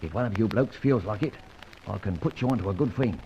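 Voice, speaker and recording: A wry detective passing himself off as a cocky crook in a vintage radio drama. His theatrical delivery swings widely in pitch and is peppered with underworld slang. The recording is muffled, with steady hiss. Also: fast. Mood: boastful, cocky. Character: man detective posing as a boastful small-time crook. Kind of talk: old-time radio detective drama acting.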